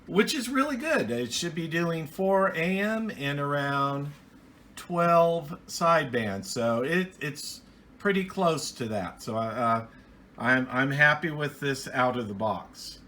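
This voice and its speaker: A man giving a casual, unscripted hands-on gear review as a hobbyist, halting and restarting his phrases as he goes. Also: a little high, expressive, bright, forceful tone, slight background noise.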